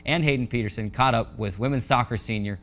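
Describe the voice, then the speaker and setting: A man's voice: mid-range voice, fast talker, fairly bright tone, faint music behind. A man hosting a college sports show, delivering a scripted sportscast in an announcer's style.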